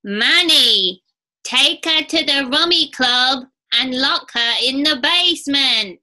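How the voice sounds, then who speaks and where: A woman's voice: high voice, slow, sharp tone, full character voice. A woman voicing a pet parrot that insistently mimics phrases in a radio play. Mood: insistent mimicry. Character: squawking pet parrot repeating an overheard order. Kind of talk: 1940s radio play acting.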